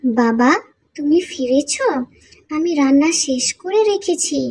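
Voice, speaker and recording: A woman's voice: sits high, animated, measured pace, dark tone. A woman voicing the caring, responsible elder daughter of a poor farmer in a cartoon, in a soothing, motherly manner. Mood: affectionate. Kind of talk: cartoon voice acting.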